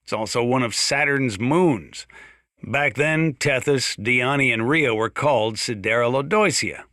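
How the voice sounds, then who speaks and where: Folksy American mountain sheriff, bright gritty edge. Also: male voice, medium pitch, unhurried, dry recording, clean studio sound. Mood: chatty, wry. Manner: folksy, wry, drawling storyteller. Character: folksy, gruff, sardonic older small-town mountain sheriff. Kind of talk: in-character radio monologue for a video game.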